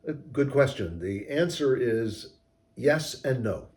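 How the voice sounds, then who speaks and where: A man giving measured, technical, explanatory answers in an interview as a company co-founder. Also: medium pitch, expressive, a little mellow. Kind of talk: interview answers about product design.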